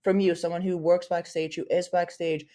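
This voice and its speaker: A woman's voice: lowish voice, level tone, moderate pace, mellow. A woman co-hosting a podcast in casual chat, rambling and circling back as she talks. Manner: rambling, full of ums and restarts. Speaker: podcast co-host.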